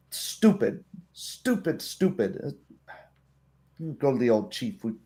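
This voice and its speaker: A man voicing a wary, weary female kobold in a tabletop roleplay, speaking haltingly with pondering hums. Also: mid-range voice, very animated, dark tone. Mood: hesitant, frustrated.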